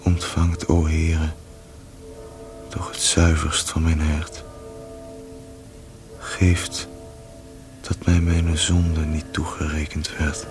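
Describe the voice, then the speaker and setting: Dying penitent, radio play, very low slow voice, music behind. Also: male voice, completely flat delivery, exaggerated voice. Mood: resigned. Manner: slow, resigned, devout. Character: dying grief-worn man praying for mercy. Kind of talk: solemn prayer in verse in a radio play.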